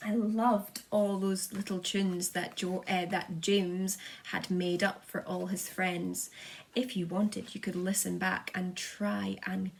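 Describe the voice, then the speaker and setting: A woman's voice: mid-range voice, little pitch movement, slow pace, vocal fry. A woman reading a children's storybook aloud as a schoolteacher, expressively, sometimes stumbling and repeating words.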